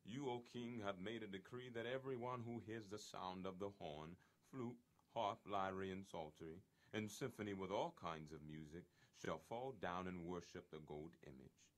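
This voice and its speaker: A man playing a deferential Chaldean courtier accusing others before his king, formal and measured, in a scripture audio reading. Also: low-pitched, natural voice. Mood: deferential accusation.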